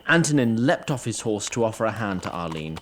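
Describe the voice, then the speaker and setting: A man's voice: fairly low pitch, very animated, a little fast, a little hiss or hum. A man narrating a scripted fantasy story in a wry storytelling manner.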